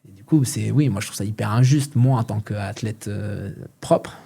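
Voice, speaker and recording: A man's voice: fairly low pitch, moderate pace, vocal fry, husky. A man hosting a casual podcast, chatting easily and backing the guest up with short agreements. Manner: relaxed, conversational, frequent short agreements.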